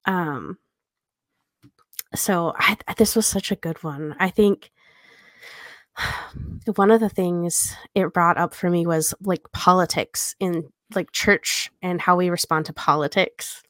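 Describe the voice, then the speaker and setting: Woman, lowish pitch, dry studio-like sound, podcast co-host, casual explaining, conversational tag questions. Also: takes it slowly, fairly bright tone, no echo.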